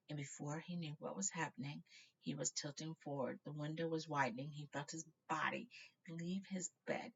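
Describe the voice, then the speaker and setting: A woman's voice: low-pitched, vocal fry, a bit bright, highs cut off, slight background noise. A woman narrating a children's novel aloud, informally, stumbling now and then and correcting herself. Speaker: storybook reader.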